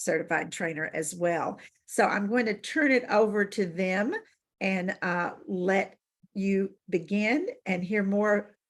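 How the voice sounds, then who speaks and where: A woman's voice: fairly low pitch, husky. A woman hosting a webinar, introducing her guests in a loose manner and stumbling a little over names. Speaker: webinar host. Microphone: video call.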